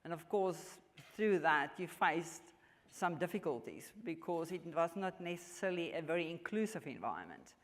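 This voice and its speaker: A woman in her fifties from South Africa, with a South African accent and Afrikaans as her first language, answering interview questions in an earnest, measured way with frequent restarts. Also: lowish voice, lively intonation. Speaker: mining executive guest. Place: large function hall. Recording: long hall reverb.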